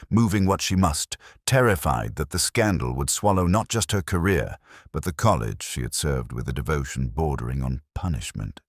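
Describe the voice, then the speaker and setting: Very deep-voiced man narrating a detective story. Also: dry recording, clean studio sound. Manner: solemn, literary, measured phrasing. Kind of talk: scripted first-person detective story narration.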